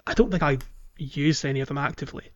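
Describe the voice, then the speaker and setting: Higher-voiced man chatting, slightly dull lo-fi recording. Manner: jokey, chatty, quick to laugh. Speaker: podcast co-host. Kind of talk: casual gaming podcast chat.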